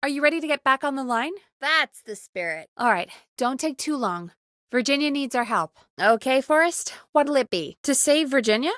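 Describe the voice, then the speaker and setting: A woman's voice: sits high, normal speed, no room sound, studio quality. A woman voice actor playing an earnest, dedicated radio producer who gets exasperated easily, in natural video game dialogue. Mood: focused, urgent. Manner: earnest, expressive, exasperated at times.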